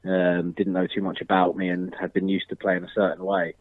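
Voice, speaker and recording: A young man in his twenties talks over a tinny phone line. His voice sits very low and sounds dry and close. He answers questions modestly and measuredly, full of ums and restarts. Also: completely flat delivery, fairly quick, no echo. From Northern Ireland. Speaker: football striker, interview guest. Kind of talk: casual interview answers.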